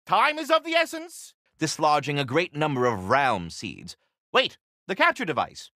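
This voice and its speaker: An actor playing a fussy, verbose, very polite talking squirrel in a video game, with ornate formal diction and theatrical courtesy. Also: male voice, on the high side, bright, forceful tone, dry recording, clean studio sound, full character voice. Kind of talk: video game character acting.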